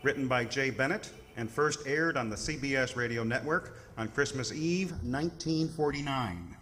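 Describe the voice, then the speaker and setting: A man's voice: mid-range voice, normal speed. A man announcing a radio theatre programme in a formal scripted style. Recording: loud music under the voice.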